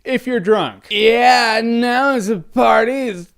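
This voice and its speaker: A man in his thirties giving an animated, sarcastic movie review to camera, slipping into mock voices. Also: very high-pitched, slow, gravelly, smooth. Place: studio with red backdrop.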